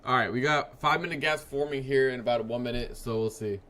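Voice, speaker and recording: A man in his early twenties hosting a live trading stream, chatting casually with viewers in a laid-back, slangy way and letting his sentences trail off quietly. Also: mid-range voice, a little fast, slight background noise. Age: 20s. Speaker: livestream trading host. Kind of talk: casual live-stream chat reading comments.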